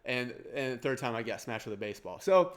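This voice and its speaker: A man's voice: mid-range voice, expressive, fast. A man in his forties with a Kansas accent and a nasal voice, talking casually about exam prep in a rambling, self-deprecating way. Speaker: CPA exam prep course owner. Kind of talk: casual talk to camera.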